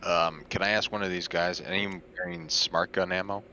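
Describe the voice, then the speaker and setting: A man with a very low voice and a forceful, bright edge, playing in a tabletop game. He sounds eager and laughs easily. The recording is dull and lo-fi, with faint noise. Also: fairly even intonation, moderate pace. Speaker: player. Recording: dull lo-fi mic.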